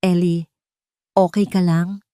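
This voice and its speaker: A woman's voice: lowish voice, little pitch movement, slow, warm tone, clear, resonant tone, no room sound. A woman plays a loyal Filipino man in an audio romance drama. He is alert and firm, steadily encouraging, recorded dry and close. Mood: alert, concerned.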